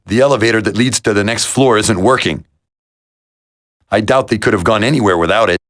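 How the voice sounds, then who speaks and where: A man's voice: fairly low pitch, a little fast, a bit bright, close to an everyday voice. A man voicing a friendly, practical young soldier teammate in a video game. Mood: calm, practical.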